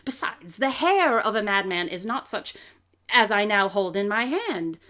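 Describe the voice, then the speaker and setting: An actress playing a brilliant, coolly confident detective who lectures with precise, theatrical diction in an audio drama. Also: female voice, mid-range voice, sing-song, a little slow, bright, forceful tone. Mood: probing, instructive. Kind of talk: live audio drama acting.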